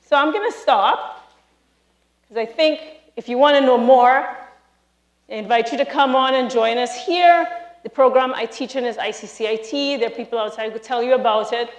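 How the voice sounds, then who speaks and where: A woman from Trinidad with a Trinidadian accent, a lecturer giving a lively, emphatic live talk to an audience in a large hall. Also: on the high side, moderate pace. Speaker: speaker, university lecturer.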